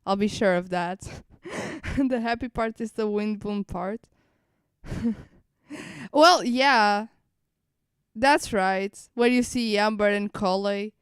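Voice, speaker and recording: A woman's voice: medium pitch, slow, long pauses, clear, resonant tone, dry recording, studio quality. A woman streamer giving casual live reaction commentary, rambling and repeating phrases as she thinks aloud. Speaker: streamer reacting to a game livestream.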